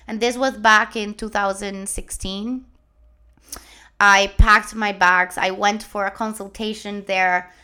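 Woman, Puerto Rican accent, native Spanish speaker, late twenties, smooth resonant voice, earnest personal story. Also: medium pitch, sharp tone. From Puerto Rico. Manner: earnest, frequent restarts and pauses. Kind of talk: personal story told to followers.

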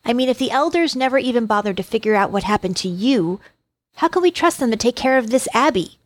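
A man's voice: very high-pitched, animated, fast, no echo, pitched above the performer's own voice. An indignant young woman telepath fires off emphatic, questioning lines, put on by a male narrator. The voice sits far above his own. It has the close feel of an audiobook reading.

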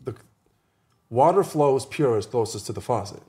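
A man talking casually as a podcast guest, in a halting way, often correcting himself as he goes. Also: medium pitch, expressive, dark tone. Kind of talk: casual conversational podcast discussion.

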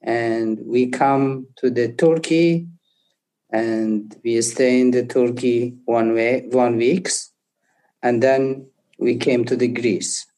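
Slow, halting man from Afghanistan. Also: medium pitch, fairly even intonation, mellow, low-quality recording. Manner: halting, searching for words in English. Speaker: interview guest. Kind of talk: personal testimony.

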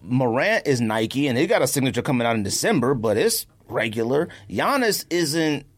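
A man with a very lively, swinging pitch talks as a podcast co-host. His delivery is casual and slangy, and he states his opinions plainly in loose, conversational chat. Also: moderate pace.

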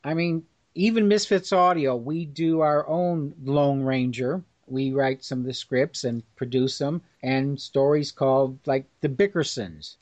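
A man's voice: mid-range voice, measured pace, hardly any pauses, a little mellow. A man hosting a roundtable podcast in a formal, courteous manner. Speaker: podcast host. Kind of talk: moderated roundtable discussion. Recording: slightly dull, lo-fi audio.

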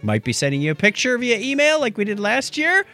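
A man with a lively, swooping delivery and a slightly high voice hosts a casual podcast. He talks in a playful, joking manner, with music faintly behind him. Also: brisk. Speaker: podcast host. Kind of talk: casual co-hosted podcast chat.

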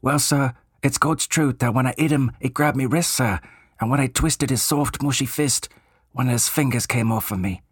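A man voicing a young male Cockney bellboy who speaks bluntly with rough, dropped grammar. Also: mid-range voice, moderate pace, pitched above the performer's own voice, lightly acted. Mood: fearful.

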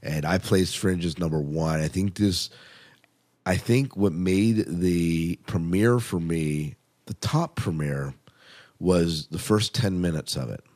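Male podcast co-host, deep voice, casual and enthusiastic reviewer with emphatic delivery. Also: takes it slowly, a little mellow. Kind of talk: casual review chat about TV premieres.

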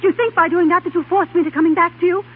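High-voiced, exasperated young wife, tinny radio. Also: female voice, fairly even intonation, rapid-fire. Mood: exasperated, angry. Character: firm, exasperated young estranged wife, proud and independent. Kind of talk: 1930s American radio acting. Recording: tinny narrowband 1930s radio recording.

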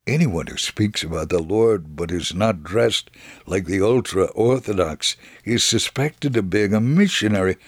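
A man playing an elderly, devout Israeli man, reading his first-person story in a measured, earnest, gravelly and husky voice for radio. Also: fairly low pitch, no room sound. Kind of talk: dramatic scripted reading of a first-person testimony.

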